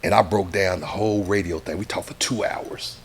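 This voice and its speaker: A middle-aged man, a radio DJ talking casually in an interview, animated and acting out what other people say. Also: low-pitched, brisk, a little hiss or hum. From Texas, USA. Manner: animated, acts out quoted people, says "you know what I'm saying" a lot.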